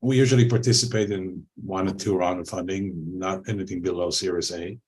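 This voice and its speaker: A man from Israel speaking as a panel guest over a video call, relaxed and conversational with many fillers. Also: low-pitched, brisk, slightly rough, rough recording. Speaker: panel guest, angel investor.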